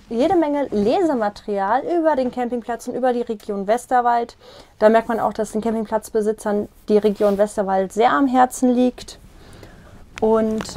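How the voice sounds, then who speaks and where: A young woman with a fairly high voice walks viewers through a small caravan, chatty and explanatory. She points things out as she moves, recorded close on a clip-on mic inside the compact interior. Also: darkish tone, a little hiss or hum. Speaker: vlogger giving a tour. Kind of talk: casual room tour. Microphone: lapel mic.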